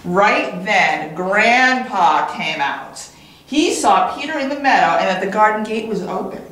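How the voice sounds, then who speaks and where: A woman reading a children's story as a teacher, in an expressive, animated way with dramatic pauses and repetition. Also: mid-range voice, slight background noise. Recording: very echoey room.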